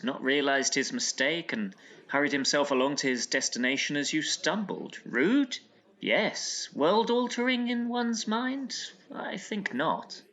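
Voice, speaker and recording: Sceptical gentleman friend, animated pitch, dry, formal, exasperated, audio drama. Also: exaggerated voice.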